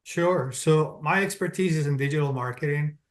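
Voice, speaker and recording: Middle-aged colombian guy, accent, kinda high voice, calm businesslike, goes point by point. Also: normal speed. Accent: Colombian Spanish. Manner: steady, businesslike, lays points out in order. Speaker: guest. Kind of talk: interview answers, explaining step by step.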